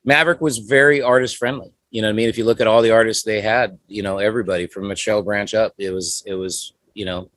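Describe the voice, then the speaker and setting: A man's voice: fairly low pitch, steady tone, fast talker, few pauses, a bit bright, no room sound. Rambling, laid-back man, dry close mic. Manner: rambling, many "you know" fillers. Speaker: guest musician. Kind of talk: casual interview chat.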